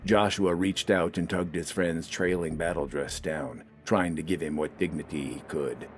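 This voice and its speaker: A man's voice: sits low, fairly even intonation, normal speed, slight background noise. A man reading scripted, dramatic fiction as an audiobook narrator.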